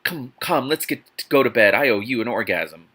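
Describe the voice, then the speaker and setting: A man reading aloud as an intense, commanding, brooding young male lover in a novel, with a breathy, bossy manner. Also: mid-range voice, animated, brisk, sharp tone. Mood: fervent, commanding.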